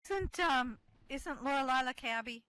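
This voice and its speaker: A woman's voice: high-pitched, unhurried, sharp tone, clear, resonant tone, low-quality recording. A woman directing a group reading, talking casually with many hesitations and restarts. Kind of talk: casual coordinating talk.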